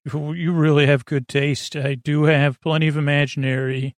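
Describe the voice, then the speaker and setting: Male sleep-podcast host, heavy vocal fry, dry studio sound, halting and wandering delivery full of tangents. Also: on the high side, little pitch movement, dry recording. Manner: meandering, hesitant, stammering, often breaking off mid-thought. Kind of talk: rambling bedtime monologue with tangents.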